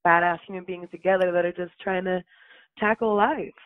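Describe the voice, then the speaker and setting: A woman's voice: fairly low pitch, steady tone, fairly quick, dry recording, phone-quality sound. A woman from Utah chatting casually as an interview guest, laughing often and saying "like" a lot. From Utah, USA.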